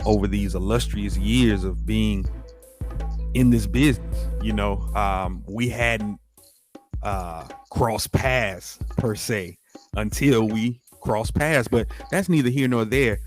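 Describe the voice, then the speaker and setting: Low-voiced male interviewer over music. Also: takes it slowly. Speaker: podcast host, interviewer. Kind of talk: interview hosting. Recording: music clearly heard behind voice.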